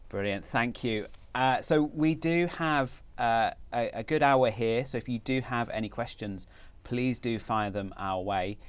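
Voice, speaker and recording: A man hosting a university student panel, upbeat but halting, with many fillers and restarts. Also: medium pitch, smooth, no room sound. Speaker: panel host, university recruitment head.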